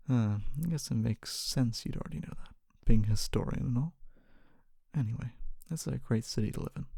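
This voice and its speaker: A performer voicing a kindly, humble fantasy city guard in a soft-spoken ASMR roleplay, often whispering, with a gentle, hesitant and reassuring manner. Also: medium pitch, creaky, breathy edge, dry recording. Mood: gentle, chatty, hesitant. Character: kindly, humble city guard, wannabe knight, calming and helpful.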